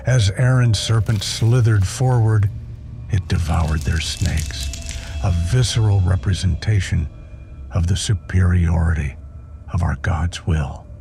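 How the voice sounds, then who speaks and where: A man giving scripted, theatrical first-person narration for an audio drama in a solemn, measured manner. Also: low voice, gravelly. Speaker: narrator and voice actor. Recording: prominent music behind. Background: music.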